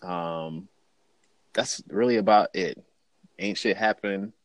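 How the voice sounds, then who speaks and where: A man's voice: deep voice, slow pace, no room sound. A young man hosting a casual podcast, laid-back and joking, with slangy, easygoing talk. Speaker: podcast host.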